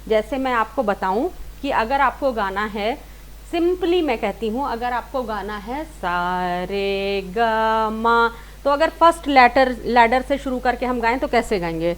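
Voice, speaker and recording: A woman's voice: on the high side, expressive, moderate pace. A woman giving an informal singing lesson, explaining step by step with repeated, rephrased points and English words mixed in. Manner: mixes English words into talk. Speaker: singing teacher. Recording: clear background hiss and noise.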